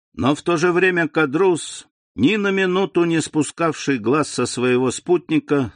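Male narrator, mid-range voice, measured storytelling, dead-dry studio sound. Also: medium pitch, no room sound. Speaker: audiobook narrator. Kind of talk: scripted novel narration.

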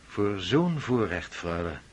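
An actor playing a smooth, flattering young officer in a radio play, speaking in a courtly, ingratiating way. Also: male voice, on the low side, slow pace, exaggerated voice.

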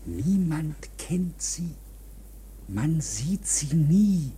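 An actor playing a timid, obsessive and servile long-time client in a radio drama, furtive and pleading, often in a breathy whisper. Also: male voice, fairly high pitch, big pitch swings, drawn-out words, warm tone, slight background noise, heavily acted. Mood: confiding, haunted.

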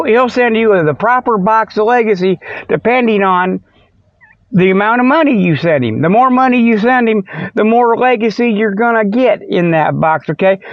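A man's voice: high-pitched, no echo. A middle-aged American man hosting a podcast, delivering a folksy, colloquial, rambling comedic monologue as casual chat. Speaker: podcast host. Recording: slightly dull lo-fi, dry sound.

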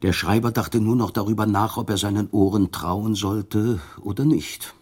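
A man's voice: on the low side, fairly even intonation, normal speed, darkish tone, breathy edge. A man narrating a literary radio drama from a script, telling the story in formal prose.

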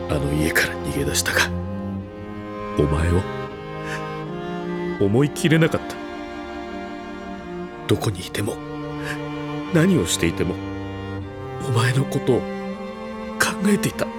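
An actor playing a tormented, obsessive, guilt-ridden man in an audio drama, confessing his possessive love with anguished intensity. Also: deep voice. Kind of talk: Japanese audio drama acting. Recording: loud orchestral string music over voice. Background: cello and string music.

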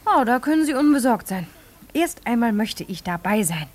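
An actress playing an ambitious, stubborn young actress in a 1950s radio play, flippant, coaxing and self-assured. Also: female voice, on the high side, big pitch swings, moderate pace. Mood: confident, coaxing. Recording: 1950s radio drama recording.

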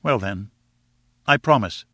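A man acts out a proud gentleman from a storybook, quick to take offense yet deferential. He is earnest and formal, with a bright, forceful edge and a dry sound. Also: on the low side, animated, slightly rough, no room sound, heavily acted. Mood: anxious submission. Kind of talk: audiobook character dialogue.

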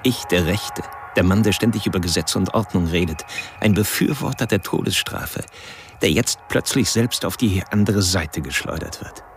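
An actor playing a polite, ironic, quietly sinister man confiding a measured, self-righteous monologue in a radio drama. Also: sits low, slight background noise. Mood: bitter irony. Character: polite, ironic, secretly sinister male narrator confiding his thoughts.

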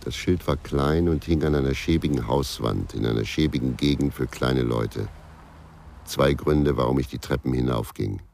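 A man playing a bitter, world-weary ex-policeman who narrates a crime radio play in a gravelly, laconic way. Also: very deep voice, normal speed, a little mellow, slight background noise. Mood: brooding. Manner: brooding, laconic. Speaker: first-person narrator.

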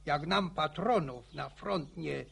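An actor playing an old village grandfather in a radio play, spinning boastful war yarns with a gravelly, stammering, chuckling voice. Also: fairly high pitch, takes it slowly, fairly bright tone, full character voice. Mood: boastful reminiscing. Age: older. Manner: chuckling folksy yarn-spinning. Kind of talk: radio drama acting.

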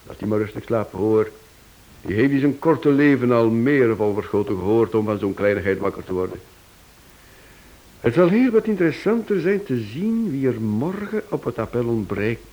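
An actor playing a wry, unruffled husband in a radio play, speaking dryly and ironically on an old recording. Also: male voice, mid-range voice, sing-song, a little mellow, low-quality recording. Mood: composed. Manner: dry, ironic, unhurried. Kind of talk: Dutch-language radio play acting.

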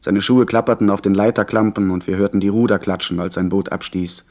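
Man as earnest storyteller with a lowish voice. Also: steady tone, a little fast, a little mellow, lightly acted. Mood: composed narration. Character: young gentleman recounting his seafaring adventure, earnest. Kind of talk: scripted radio play narration.

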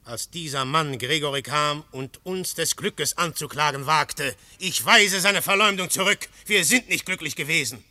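Forceful, fervent defender of order. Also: male voice, on the high side, normal speed, few pauses, dry recording. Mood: defiant. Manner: fervent, rhetorical, swinging from hushed to outcry. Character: defiant, fervent male champion of law and order. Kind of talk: German radio play acting.

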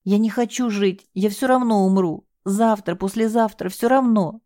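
Hysterical young woman, high and shrill, bitter and raw, read by a woman narrator in a dry, close audiobook. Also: normal speed, warm tone, no echo, close to an everyday voice. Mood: tearful. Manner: sobbing, shrill, desperate pleading.

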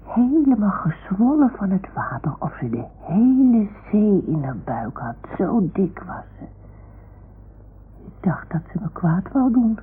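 A woman playing a small, timid toy dog who tells stories in a childlike, hushed way in a radio play. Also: medium pitch, very animated, measured pace. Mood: frightened wonder. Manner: childlike, breathy hushed storytelling. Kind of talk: 1960s-70s radio play acting. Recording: muffled, hissy old radio recording.

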